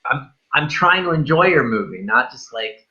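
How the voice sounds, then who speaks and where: Male film show co-host, bright forceful voice, loose enthusiastic chat, slightly muffled recording. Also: mid-range voice, expressive, moderate pace. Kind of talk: casual film discussion.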